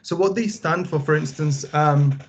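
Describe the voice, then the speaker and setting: A young man from Bulgaria, a final-year student, giving a relaxed, conversational talk with many fillers. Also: on the high side, moderate pace. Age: young adult. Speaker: student presenter. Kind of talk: casual presentation.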